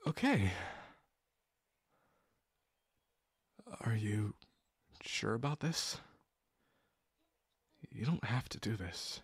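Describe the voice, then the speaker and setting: Man acting a shy young friend, lively pitch swings. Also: slightly rough, no echo, heavily acted. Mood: tender, hesitant. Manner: hesitant, halting, full of pauses and sighs. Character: shy, sincere young man secretly in love with best friend. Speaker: voice actor. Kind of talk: intimate audio roleplay addressed to the listener.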